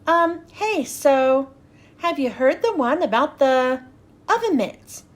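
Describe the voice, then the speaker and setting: A woman voicing an awkward male monster in a children's storybook, haltingly telling corny jokes. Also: high-pitched, lively intonation. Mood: nervous.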